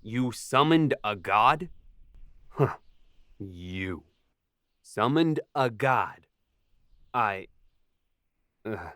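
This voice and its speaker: A man's voice: fairly low pitch, animated, extremely slow, pauses often, a bit bright, no echo, clean studio sound, exaggerated voice. A man playing an inquisitive, incredulous traveling companion in a fantasy audio drama. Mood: stunned.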